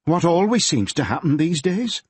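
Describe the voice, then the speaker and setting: A man voicing a grieving middle-aged mother with a Yorkshire accent, who talks in a gentle, fretful and homely way. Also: a little high, sing-song, normal speed, breathy edge, no room sound, voice pushed up. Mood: sorrowful. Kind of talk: audiobook character voice.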